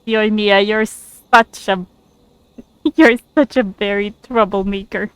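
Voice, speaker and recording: A woman streamer with a mid-pitched voice and a slow, unhurried pace. She comments casually and playfully, reacting aloud to the game. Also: steady tone, a bit bright, clear, resonant tone.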